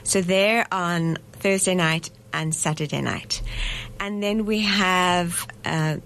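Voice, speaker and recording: A woman's voice: lowish voice, slow, fairly bright tone, a little hiss or hum, poor audio. A middle-aged woman, a festival director, speaking thoughtfully in a radio studio interview, with frequent fillers and restarts. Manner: thoughtful, hesitant with fillers and restarts, laughs easily.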